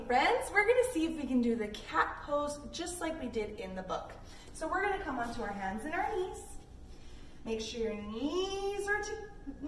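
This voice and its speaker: High-voiced woman teaching kids, echoey and distant. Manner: simple, encouraging instructions addressed to young children. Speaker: children's yoga teacher reading a picture book aloud. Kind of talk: children's read-along lesson with guided yoga. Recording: echoey room, distant mic, background noise.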